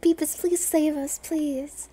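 Female game streamer, high soft-edged voice, unhurried, playful and self-deprecating chatter. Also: steady tone. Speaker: streamer playing a video game. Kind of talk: casual gameplay commentary.

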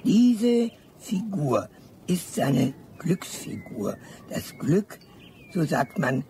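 A man's voice: fairly high pitch, very animated, drawn-out words, warm tone, heavily acted. A man acting in a radio drama as a theatrical street storyteller, drawing out his words dramatically. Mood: mysterious, dramatic. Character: theatrical street storyteller spinning a folk legend. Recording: clear outdoor animal ambience noise behind.

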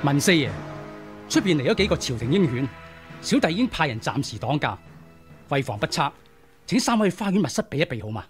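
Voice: medium pitch, sing-song, fairly quick, long pauses, soft background music, natural voice. An actor playing a courteous, evasive manor steward in a radio drama. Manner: courteous, smooth. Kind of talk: 1990s radio drama acting.

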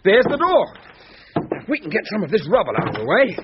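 An actor playing a brusque, sceptical British husband with dry humour in a radio thriller, husky-voiced. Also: male voice, sits high, huge pitch swings, fast talker, heavy background noise. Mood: gruff, determined. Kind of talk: radio thriller drama acting. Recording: dull radio drama recording.

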